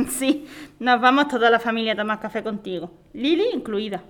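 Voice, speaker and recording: A woman's voice: fairly high pitch, a little fast, a bit bright, lightly acted. An actress playing a guarded but teasing mother and new neighbour in an audio drama. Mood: amused, teasing.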